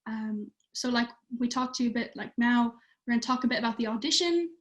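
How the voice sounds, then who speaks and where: A woman's voice: fairly high pitch, fast. A teenage girl from Ireland, chatting casually on a livestream and using many fillers. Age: teens. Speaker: youth theatre participant.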